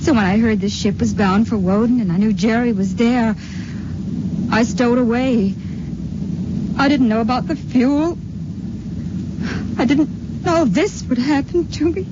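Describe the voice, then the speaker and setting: A woman's voice: a little slow, long pauses, natural voice. An American woman acting a frightened, wistful young stowaway in a 1950s radio drama, hesitant and plaintive. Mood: wistful, regretful. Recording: dull vintage radio, very noisy rumble.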